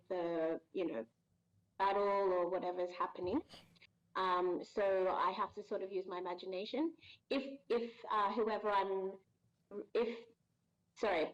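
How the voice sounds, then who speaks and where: Woman, 30s, interview guest; mid pitch, thoughtful with lots of fillers, muffled call audio. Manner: thoughtful, hesitant, many fillers and restarts. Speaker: voice actress guest. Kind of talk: casual interview answers.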